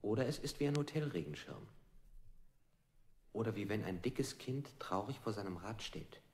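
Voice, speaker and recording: An actor playing an evasive man under interrogation in a 1960s experimental radio play, giving deliberate, cryptic answers in a husky, gravelly voice. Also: lowish voice, animated. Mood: musing. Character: interrogated man, evasive, rambling in surreal images.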